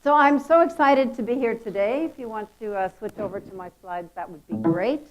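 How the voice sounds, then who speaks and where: A woman with a loud voice giving a lively, chatty conference talk. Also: a little high, a little fast, dull audio, soft background music. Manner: lively, chatty, self-deprecating. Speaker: conference speaker. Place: conference hall.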